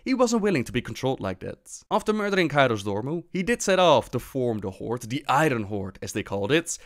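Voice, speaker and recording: A man's voice: medium pitch, very animated, fairly quick, a bit bright. A man hosting a lore video with scripted storytelling narration.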